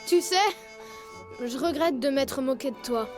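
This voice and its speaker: A woman voicing an excitable, cheeky, dramatic little male tiger cub in a French cartoon dub. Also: high voice, measured pace. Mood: playful. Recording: loud music behind.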